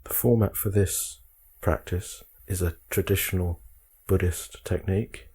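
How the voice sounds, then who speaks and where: A man guiding a meditation, speaking calmly in measured short phrases with frequent pauses. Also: low-pitched, slightly rough. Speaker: meditation guide. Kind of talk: guided meditation instruction.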